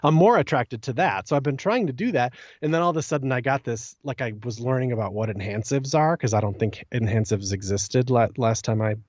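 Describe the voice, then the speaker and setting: A man's voice: medium pitch, fairly quick, hardly any pauses, a little mellow, no echo. A man co-hosting a podcast, musing informally in a loose, casual chat about a game. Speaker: podcast co-host. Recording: dull, slightly lo-fi dry audio.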